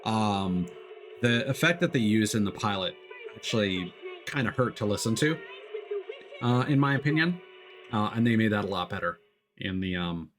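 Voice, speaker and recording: Low-voiced man chatting on stream. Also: animated, moderate pace, pauses often, music in the background. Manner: rambling, chatty, frequent restarts. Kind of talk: casual stream chat.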